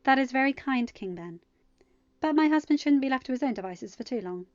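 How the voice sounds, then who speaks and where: A woman's voice: high-pitched, animated, fairly quick, no room sound, dull audio, soft background music, pitched above the performer's own voice. A woman voicing an imperious, protective goddess mother in a storybook, speaking with emphatic, threatening formality. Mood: gracious.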